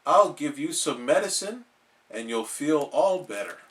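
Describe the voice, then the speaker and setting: A man voicing a calm, reassuring cartoon doctor in a gentle, measured way. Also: fairly high pitch, distant mic.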